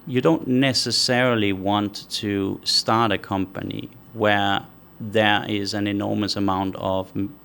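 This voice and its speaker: Gravelly low-voiced man. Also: fairly even intonation, a bit bright, slight background noise. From Denmark. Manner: measured, thinks aloud with frequent restarts. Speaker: guest, company founder. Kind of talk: conversational interview answers.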